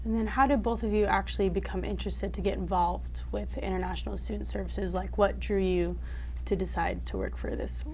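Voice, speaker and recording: A woman's voice: medium pitch, fairly even intonation, brisk, slight background noise. A young woman hosting a student television interview, upbeat when she introduces guests and asks questions. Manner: bright and upbeat, quiet brief replies. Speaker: host.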